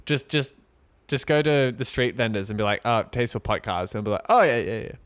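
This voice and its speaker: A man co-hosting a podcast in casual chat, rambling and joking with halting restarts. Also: medium pitch, animated, a bit bright. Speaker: podcast co-host. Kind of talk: casual chat, mock sponsor read.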